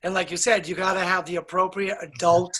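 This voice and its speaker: A man speaking as an interview guest, calm and earnest, laying down his points firmly. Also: high voice, a little fast, over a noisy crowd. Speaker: guest, author and educator. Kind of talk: casual interview chat.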